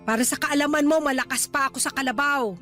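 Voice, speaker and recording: A woman's voice: sits high, fast, sharp tone, faint music behind. A woman playing a stubborn, chatty, querulous elderly mother in a Tagalog radio drama, with a Filipino accent. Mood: indignant. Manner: querulous, bantering, indignant.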